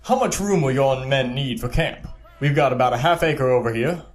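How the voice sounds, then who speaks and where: A man plays an army captain in a period adventure audio drama. He is dutiful and polite with a puzzled, uncertain edge, in a medium-pitched voice. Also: moderate pace, lightly acted.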